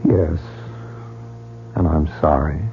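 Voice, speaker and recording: A man's voice: very deep voice, fairly even intonation, takes it slowly, gravelly, slight background noise, heavily acted. A man playing an uneasy but defiant gambler in an old-time radio mystery. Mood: curious. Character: uneasy, defiant male gambler new to the club. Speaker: radio drama actor. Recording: tinny old radio recording, humming.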